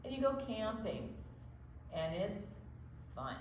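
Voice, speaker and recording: A woman's voice: mid-range voice, sing-song, slow pace, a little hiss or hum, low-quality recording. A woman hosting a children's storytime, reading and chatting expressively, drawing out words for young listeners. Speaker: children's storytime host and reader. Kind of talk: expressive read-aloud and friendly chat.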